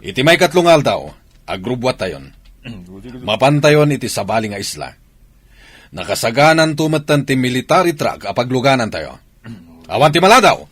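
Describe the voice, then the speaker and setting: An actor with a northern Philippine accent playing a brisk, commanding military man in a radio drama, with a husky voice. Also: mid-range voice, sing-song, fairly bright tone. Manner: commanding, emphatic. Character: brisk, authoritative military man giving orders.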